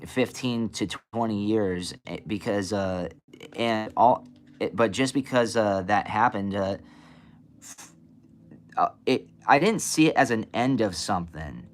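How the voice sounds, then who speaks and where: A young man with a raspy voice, a blues and soul singer, talking casually in an interview with many fillers and restarts. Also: lowish voice, measured pace, slight background noise.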